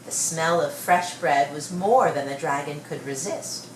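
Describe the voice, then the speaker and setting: Low-voiced woman reading a storybook, roomy. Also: unhurried. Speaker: storybook reader. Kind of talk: read-aloud children's story narration. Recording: roomy, distant mic, faint noise.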